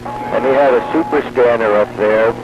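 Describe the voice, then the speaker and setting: Man, radio hobbyist, casual chat, average pitch, two-way radio, clear background noise. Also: normal speed, highs cut off. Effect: two-way radio transmission. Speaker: radio hobbyist on a CB round-table.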